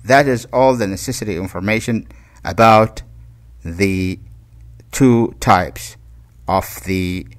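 Man explaining step by step, haltingly. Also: on the low side. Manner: halting, step-by-step, repeats details. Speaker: electronics hobbyist and presenter. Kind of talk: informal tutorial explanation.

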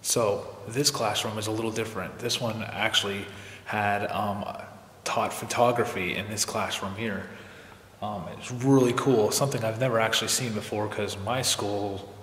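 Man with a low voice in an echoing hall. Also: normal speed, a little hiss or hum. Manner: relaxed, plain-spoken, with frequent "uh". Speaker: urban explorer vlogger. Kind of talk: casual walkthrough narration. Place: large empty abandoned school building, indoors. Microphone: camera held at arm's length. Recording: echoey hall with long reverb.

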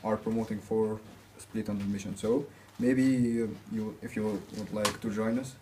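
A man's voice: fairly low pitch, steady tone, normal speed. A young man from Egypt introducing himself hesitantly to a camera in a small office. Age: young adult. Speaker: student volunteer. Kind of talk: casual self-introduction. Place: small office with green walls. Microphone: far camera. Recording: clear background hiss.

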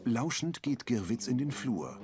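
A man narrating audio description for a TV crime comedy in a calm, even reading voice. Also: medium pitch, a little slow, over loud music.

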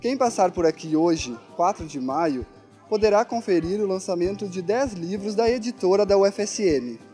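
A man's voice: sits high, normal speed, dark tone, soft background music. A young man delivering a scripted television news report. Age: young adult.

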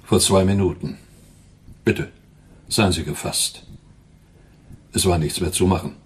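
Grave doctor, low-pitched, sympathetic, radio crime drama, faint noise. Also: male voice, measured pace. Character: grave, sympathetic male doctor breaking bad news.

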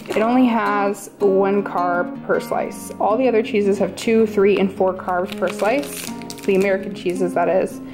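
Chatty woman narrating a grocery haul. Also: medium pitch. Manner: chatty, listing items with quick asides. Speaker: vlogger showing a grocery haul. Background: guitar music.

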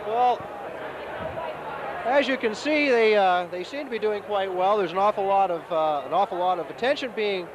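A man's voice: sits high, moderate pace, crowd noise. A man hosting roving event coverage at a banquet, talking in a folksy, chatty and enthusiastic way on a handheld mic. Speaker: event reporter and host. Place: indoor banquet hall at a party. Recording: noisy camcorder audio at a party.

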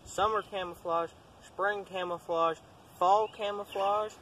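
Young man with a high-pitched voice. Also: steady tone, measured pace, pushed and bright, low-quality recording. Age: young adult. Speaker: co-host. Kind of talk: casual instructional chat. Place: outdoors in woodland. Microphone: handheld camera a few metres away. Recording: outdoor ambient noise, camera mic.

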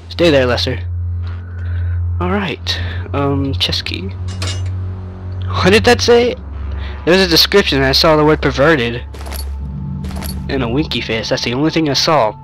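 A man's voice: fairly high pitch, expressive, a little slow, pauses often, fairly bright tone, soft background music. A young man commenting on a horror game through a headset mic, jumpy and jokey as he talks to an imaginary sidekick. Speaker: game streamer.

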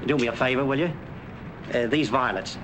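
A man's voice: medium pitch, brisk, close to an everyday voice. A man acting a friendly middle-aged working fellow in a 1940s film. He talks in a chatty, plain-spoken, folksy way, over a noisy vintage soundtrack. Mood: earnest. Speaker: actor.

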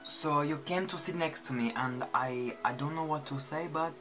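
A man's voice: on the high side, moderate pace, music in the background, lightly acted. A young man voicing a tongue-tied train passenger with a funny accent, stammering and unsure, in a comedy sketch. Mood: flustered.

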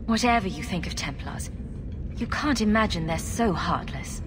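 An actress playing a diplomatic young heroine in a fantasy game, speaking in a composed, reasoning way. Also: female voice, fairly low pitch, expressive, moderate pace, background noise, natural voice. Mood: calm, concerned. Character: calm, diplomatic heroine, young adult woman.

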